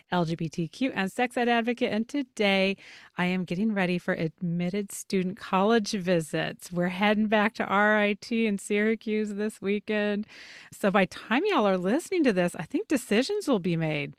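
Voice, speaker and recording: A woman's voice: mid-range voice, lively intonation, normal speed, no echo, clean studio sound. A woman co-hosting a podcast with casual, chatty talk. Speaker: podcast co-host. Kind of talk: casual chat.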